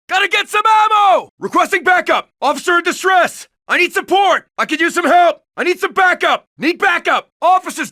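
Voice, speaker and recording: A man's voice: very high voice, bright, forceful tone, dry recording, clean studio sound, exaggerated voice. An actor playing a tough male SWAT police officer in a shooter game, barking short, urgent commands. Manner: clipped, commanding barks. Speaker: voice actor for a player character. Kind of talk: game combat voice lines.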